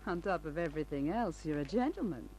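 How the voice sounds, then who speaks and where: A sly, flirtatious noir dame. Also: female voice, low-pitched, expressive, fast, a little mellow, slight background noise, low-quality recording. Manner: coy, teasing. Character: flirtatious, sly blonde femme fatale. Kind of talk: old-time radio crime drama acting. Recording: old radio recording with faint music.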